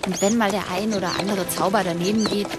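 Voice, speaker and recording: A woman's voice: medium pitch, fairly even intonation, fast talker, heavy background noise, lightly acted. A woman playing a blunt, feisty but loyal young dragon rider in a fantasy audio drama. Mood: reassuring, loyal. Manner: blunt, slangy, sarcastic.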